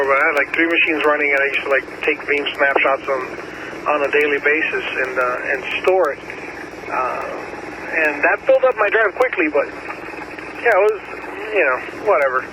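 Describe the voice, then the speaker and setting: A man in a laid-back, casual technical chat over a noisy ham radio repeater. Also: fairly high pitch.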